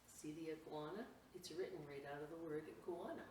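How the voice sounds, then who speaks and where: A woman reading a picture book aloud to children as a storytime reader, expressively and with pauses, adding simple explanations for young listeners. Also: low voice, darkish tone. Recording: roomy, distant mic, faint noise.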